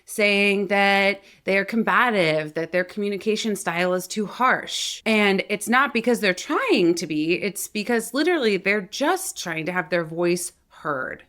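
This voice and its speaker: A woman's voice: mid-range voice, lively intonation, unhurried, hardly any pauses, a bit bright. A woman speaking as a voice coach and host, explaining things to viewers in an articulate, conversational way. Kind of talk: instructional talk to viewers.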